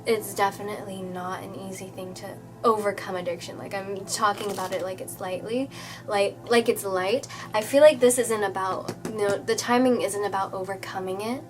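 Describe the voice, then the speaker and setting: A woman's voice: medium pitch, fairly even intonation, normal speed. A woman doing a casual tarot reading who sounds a bit nasal from a cold, talking in a chatty, reassuring way with lots of "okay?" and fillers. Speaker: tarot reader.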